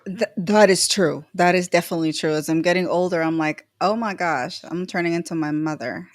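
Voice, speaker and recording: A woman from El Salvador hosts a panel over a video call, her voice a touch low and very dry, with no room around it. She chats and jokes freely, filling gaps with casual fillers. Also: a little fast, no echo. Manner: chatty, jokey, many fillers. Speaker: host.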